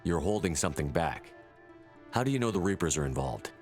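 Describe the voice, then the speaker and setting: An actor playing a resolute, blunt space marine commander in a sci-fi game, speaking firmly and directly. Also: male voice, low-pitched, sing-song, fairly quick, darkish tone, soft background music. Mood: determined, questioning. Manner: firm, direct. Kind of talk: cinematic sci-fi game dialogue.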